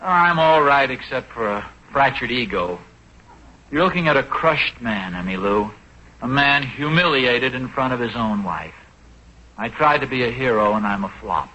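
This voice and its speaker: Sheepish radio husband, noisy old recording. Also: male voice, mid-range voice, lively intonation, normal speed, sharp tone. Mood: self-pitying. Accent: American. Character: self-pitying, sheepish husband and father with a bruised ego. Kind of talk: 1940s radio sitcom acting.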